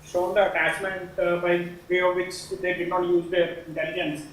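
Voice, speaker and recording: A man asking his teacher a question in class, hesitant with many pauses. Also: sits high, monotone, fairly bright tone, heavy reverb, slight background noise, poor audio.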